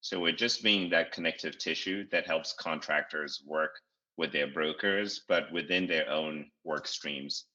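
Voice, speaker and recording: CEO guest, very deep and bright, measured with many pauses, on a dull lo-fi recording. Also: male voice. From Zimbabwe. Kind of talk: interview answers. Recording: dull lo-fi audio.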